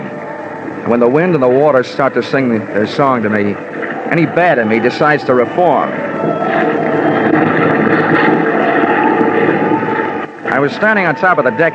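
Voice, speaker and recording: A man's voice: mid-range voice, background noise, lightly acted. An actor playing a wry, hard-boiled American investigator, telling his story in clipped, colourful 1950s radio drama narration. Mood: wistful. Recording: muffled old radio broadcast, loud music behind.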